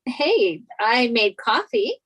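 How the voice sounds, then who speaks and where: A woman's voice: on the high side, big pitch swings, slow pace, a bit bright, slightly rough, full character voice. An actress reading the part of a naive, sheltered young woman in a sitcom table read. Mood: breezy.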